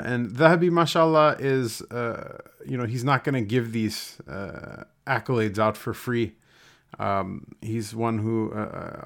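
A man teaching religious history in an informal lecture, speaking haltingly and discursively with scholarly asides. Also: lowish voice. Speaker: Islamic teacher leading a religious gathering.

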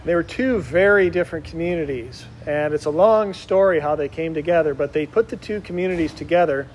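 A man's voice: a little high, fairly quick, a little hiss or hum, poor audio. A middle-aged man from Wisconsin, a Benedictine monk, giving an informal spiritual conference to oblates, talking conversationally with frequent ums and uhs.

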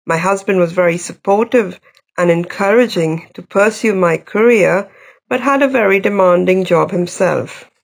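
A middle-aged woman psychiatrist from India with a Welsh Indian accent, reading her personal story in a measured, even way. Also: mid-range voice, gravelly.

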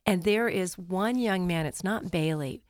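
A woman's voice: on the low side, unhurried, no echo, studio quality. A woman talking earnestly and admiringly as a theater guest in a radio interview.

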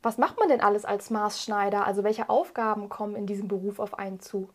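A woman's voice: mid-range voice, steady tone, a little fast. A woman interviewer giving introductions and asking prepared questions.

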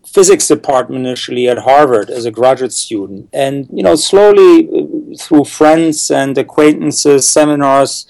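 A middle-aged man from the Czech Republic explains lab methods in an interview. He searches for words, repeats himself and fills pauses. His voice sits at an ordinary pitch in a dry, close recording. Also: vocal fry, darkish tone, dry recording, low-quality recording. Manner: thoughtful, many fillers and repeated words. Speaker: neuroscientist guest. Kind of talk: interview answers, explaining science.

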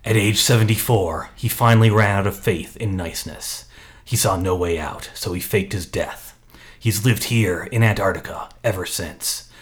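A man's voice: on the low side, moderate pace. A man playing a brash character in a comedy radio play and reading the show credits. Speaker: podcast performer.

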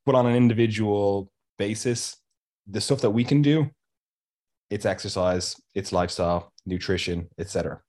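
A man's voice: lowish voice, normal speed, a little mellow. A man co-hosting a podcast, talking casually with a blocked nose that makes him sound nasal. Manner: chatty, restarts, frequent "you know" and "like". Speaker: podcast co-host.

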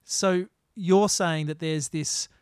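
A man's voice: fairly high pitch, fairly even intonation, takes it slowly, clean tone, no room sound, clean studio sound. A man hosting an interview, asking thoughtful, searching questions. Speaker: podcast host.

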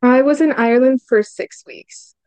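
A woman's voice: high-pitched, normal speed, a little mellow, clear, resonant tone, no echo, poor audio. A young woman from Hungary, a student guest answering casually and upbeat, with lots of "like" and "um.". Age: young adult. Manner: upbeat, frequent "like" and "um" fillers.

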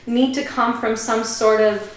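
A woman's voice: a little high, fairly even intonation, a little slow, a loud crowd. A woman speaking as a podcast guest, giving earnest, reflective opinions in conversation. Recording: very echoey room.

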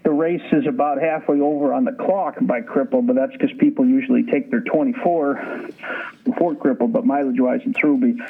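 A man's voice: medium pitch, steady tone, a little fast, breathy edge. A man in his forties, from Ukraine, a sled dog musher answering interview questions in a laid-back, dry-joking way over a phone line. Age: 40s.

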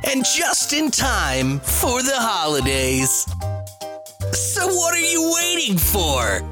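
A woman's voice: on the high side, wildly swooping pitch, slow pace. A woman doing a playful sing-song mock trailer synopsis with music behind her. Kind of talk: sung and spoken mock movie-trailer synopsis. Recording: clear music playing behind.

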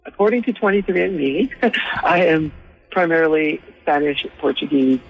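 A man's voice: a little high, normal speed, creaky, husky, no echo, dull audio. A man from Hawaii, a rugby coach being interviewed, explaining things in an easygoing, rambling way. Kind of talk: casual interview talk.

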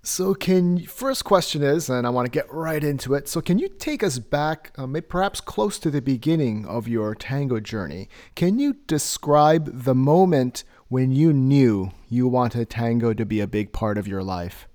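A man's voice: medium pitch, expressive, moderate pace, hardly any pauses, a little mellow. A man hosting a podcast, reading a scripted guest introduction and asking interview questions. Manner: scripted introduction, then brief backchannels. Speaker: podcast host.

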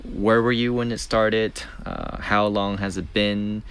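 A man's voice: fairly low pitch, steady tone, slow pace, gravelly, a bit bright, clean tone. A man hosting a casual interview, friendly and chatty, backing up his guest with frequent short replies and asking loose, rambling questions. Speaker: interviewer, podcast host.

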